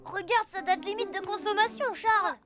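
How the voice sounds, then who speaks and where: A woman's voice: very high voice, normal speed, over music. A woman playing an eager, friendly young schoolboy in a children's cartoon dub, earnest and exclamatory. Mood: concerned.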